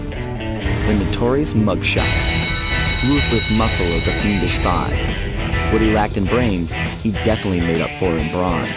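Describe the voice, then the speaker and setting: Smooth-talking American cartoon raccoon thief. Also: male voice, on the low side. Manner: cool, wry, nonchalant. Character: suave, cocky young male cartoon raccoon thief. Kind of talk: video game cartoon voice acting and narration. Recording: loud background music.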